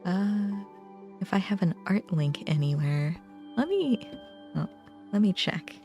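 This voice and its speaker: A young adult woman chatting casually on a livestream, musing informally in short, halting phrases. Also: lowish voice, sing-song, dark tone. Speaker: game streamer. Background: background music.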